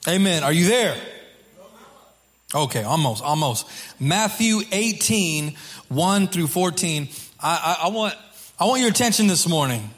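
A man preaching as a pastor to his congregation in an informal, halting way, repeating phrases, with a slightly hoarse voice. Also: on the high side, expressive, fairly bright tone. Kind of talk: sermon to a congregation. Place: church service.

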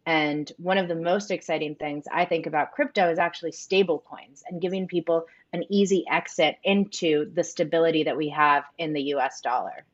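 Low-voiced woman, earnest interview guest. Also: fairly even intonation, brisk, few pauses, muffled recording. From United States. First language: Spanish. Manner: earnest, reflective, frequent fillers like "um" and "like". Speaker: company co-founder. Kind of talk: explanatory interview answers.

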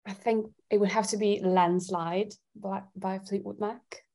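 A woman's voice: medium pitch, little pitch movement, measured pace, mellow. A woman from Italy chatting casually as a guest singer-songwriter in an interview.

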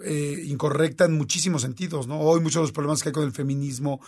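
A man's voice: on the high side, fairly even intonation, fast talker, darkish tone, husky. A man with a Mexican Spanish accent, hosting a conversation and talking earnestly and animatedly. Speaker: host. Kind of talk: casual conversation.

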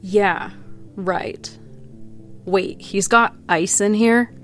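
A woman's voice: medium pitch, fairly even intonation, drawn-out words, soft background music. An actress playing a cynical, blunt woman in an audio drama, dry, curt and unimpressed. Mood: grumbling. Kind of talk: naturalistic audio drama acting.

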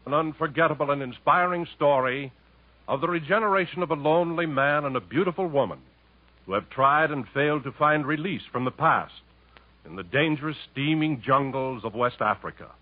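A man's voice: on the high side, lively intonation, brisk, dry recording. A man hosting in an old 1950s radio announcer style, giving an emphatic, polished dramatic introduction. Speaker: radio show host and announcer. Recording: narrow-band 1950s radio recording.

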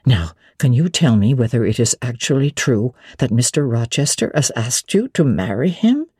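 Woman playing a hesitant, anxious elderly widow, low-pitched. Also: big pitch swings, dark tone, slightly rough, no echo, clean studio sound, exaggerated voice. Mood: astonished, bewildered. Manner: hesitant, halting, fretful. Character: elderly widowed housekeeper, bewildered, anxious, cautious. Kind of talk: dramatic audiobook reading of classic literature.

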